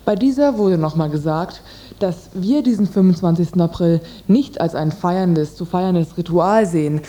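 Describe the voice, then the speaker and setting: A woman with a lowish, very dark and relaxed timbre reads a radio news report. She delivers prepared text and translations as a presenter. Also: normal speed. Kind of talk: scripted news reading and voice-over translation.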